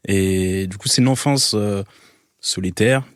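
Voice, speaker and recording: A man in his thirties from Algeria talks as a guest in a relaxed interview, often stopping and restarting his sentences. His voice sits low. The sound is dry, with almost no room around it. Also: a little slow, dry recording. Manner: hesitant, many fillers and restarts. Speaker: guest, photographer. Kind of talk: casual interview talk.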